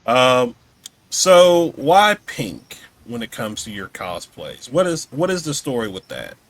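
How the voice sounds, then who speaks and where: Male podcast host, mid-range voice with lively swings in intonation, informal, slightly halting questions. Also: mid-range voice, no room sound. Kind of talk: casual interview chat.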